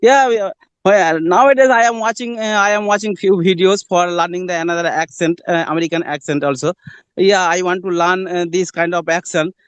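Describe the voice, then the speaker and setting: Man, Indian accent, fairly high voice, smooth, dry close sound, polite and halting. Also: fairly even intonation, fairly bright tone, no echo. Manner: hesitant, formal, says ma'am. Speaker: conversation partner. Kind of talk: casual practice conversation.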